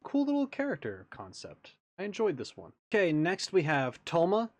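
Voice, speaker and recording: Animated man giving casual reaction commentary. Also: sits high, moderate pace, dry recording. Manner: musing, informal. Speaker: reaction video host.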